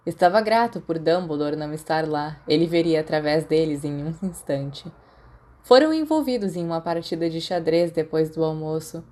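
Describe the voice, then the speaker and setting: Mellow Brazilian woman narrating a story. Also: low voice. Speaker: fan fiction audiobook narrator.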